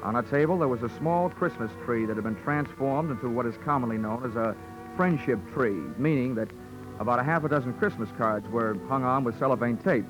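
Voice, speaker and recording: A man's voice: medium pitch, fairly quick, close to an everyday voice, low-quality recording. Man playing a wry old-time radio detective. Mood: wry, tense narration. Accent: American. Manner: dry, deadpan, wisecracking. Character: wry, hard-boiled male insurance investigator narrating his case. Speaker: lead actor and narrator. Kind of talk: 1940s radio detective drama narration. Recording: old 1940s radio recording, music behind.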